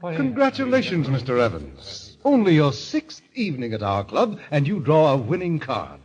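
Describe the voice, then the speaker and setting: An actor playing the polished, coolly menacing president of a deadly card club, formal and courteous, in a golden age radio drama. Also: male voice, fairly high pitch, huge pitch swings, normal speed, a little mellow. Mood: smoothly pleased. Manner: formal, courteous, commanding delivery. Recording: dull old radio recording.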